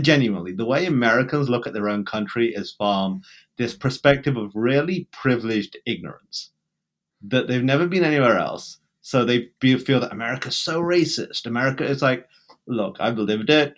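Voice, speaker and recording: A man with a British accent, talking emphatically as a guest in an interview, in bursts with restarts. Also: medium pitch. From England. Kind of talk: conversational interview.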